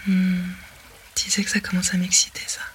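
A woman whispering a sensual roleplay to the listener in a breathy, commanding way. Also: on the low side, completely flat delivery, moderate pace, fairly bright tone, slight background noise. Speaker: narrator of an intimate audio roleplay.

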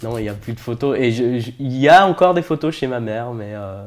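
A man's voice: mid-range voice, brisk. Young Frenchman, jokey and self-deprecating. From France. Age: 20s. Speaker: interview guest. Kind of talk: casual conversational interview.

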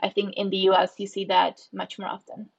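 A woman's voice: medium pitch, monotone, fairly quick, vocal fry, slightly rough, lo-fi sound. A woman from the Republic, a startup founder answering interview questions in a thoughtful way with frequent fillers. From Czech Republic.

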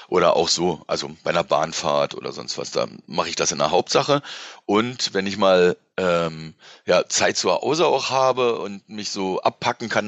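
A man's voice: low-pitched, a bit bright, dry recording, highs cut off. A man giving a casual, informal interview as a guest, talking in a hesitant, rambling way. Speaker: interview guest, radio presenter.

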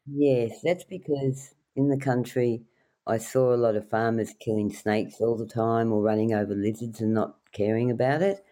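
A woman's voice: rumbling low, steady tone, normal speed, warm tone. A middle-aged woman from rural New South Wales with an Australian accent, telling casual anecdotes as an interview guest. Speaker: podcast guest, wildlife carer.

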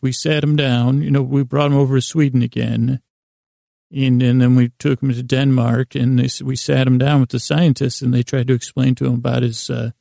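A man with a creaky voice hosting a sleep podcast, delivering a whimsical, meandering monologue that halts, trails off and doubles back absent-mindedly. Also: medium pitch, warm tone, no room sound, clean studio sound. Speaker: podcast host.

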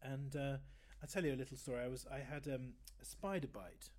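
A man in his fifties with a fairly high voice, chatting in an interview and telling rambling stories with many self-corrections. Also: brisk, darkish tone. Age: 50s. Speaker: guest oboist. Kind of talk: casual interview chat.

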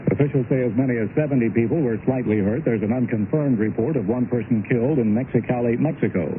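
Man, American accent, radio news bulletin, quick pace, tinny broadcast with faint noise. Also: medium pitch.

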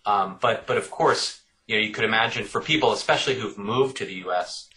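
A man's voice: on the low side, brisk, sharp tone, poor audio. A middle-aged man answering interview questions thoughtfully, often repeating words as he works out his points. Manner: thoughtful, repeats words while working out ideas. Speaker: author guest.

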